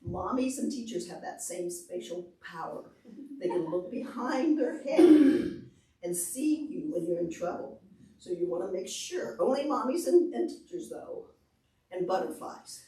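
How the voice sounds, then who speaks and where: A woman's voice: lowish voice, unhurried. Echoey, distant woman teaching small children. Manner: animated, demonstrative, simple explanations with questions. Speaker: visiting presenter at a children's library program. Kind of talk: interactive talk to preschoolers and parents. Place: large indoor library meeting room. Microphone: far camera. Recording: echoey room, distant camera microphone.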